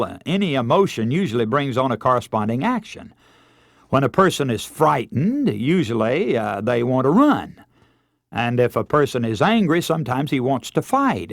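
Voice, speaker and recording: An American man preaching a radio sermon in an earnest, emphatic way, leaning deliberately on key words and telling illustrations. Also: mid-range voice, no echo, studio quality.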